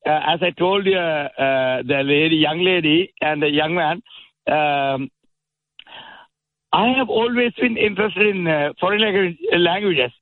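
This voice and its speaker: A man's voice: fairly high pitch, measured pace, dry recording. A man in his seventies, a caller from Sri Lanka whose first language is Tamil, speaking with a Sri Lankan accent, hesitant with many fillers and small chuckles. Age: 70s. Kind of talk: phone-in call. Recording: tinny phone line.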